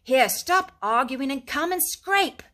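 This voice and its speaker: A kindly, excitable male rat talks briskly and eagerly, as a woman reading the story voices him. His voice sits a little raised and jumps about animatedly. He turns impatient when his friend is slow. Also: sits high, voice pushed up, heavily acted. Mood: excited.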